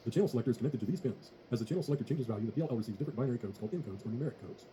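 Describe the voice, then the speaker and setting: A man's voice: mid-range voice, expressive, racing, dark tone, slight background noise. A man narrating a scripted technical tutorial in a formal, methodical way. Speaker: tutorial presenter.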